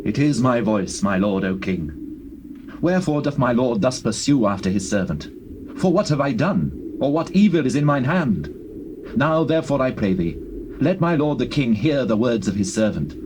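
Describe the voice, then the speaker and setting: A man's voice: medium pitch, very animated, close to an everyday voice. Guy acting righteous young warrior in a bible audio drama, solemn speechy delivery, bit of tape hiss. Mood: pleading. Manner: solemn, declamatory, measured. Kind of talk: classical dramatized scripture acting. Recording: hissy old cassette tape.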